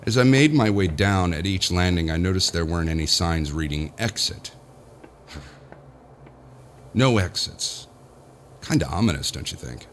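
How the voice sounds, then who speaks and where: A man's voice: low-pitched, sharp tone, slight background noise. An actor playing a powerful, nervous businessman who talks to himself in a rambling, sardonic way, narrating an audio horror drama. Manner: rambling self-talk, sardonic, jittery. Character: powerful businessman, alone, nervous, self-mocking, slowly unravelling. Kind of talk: first-person audio horror monologue.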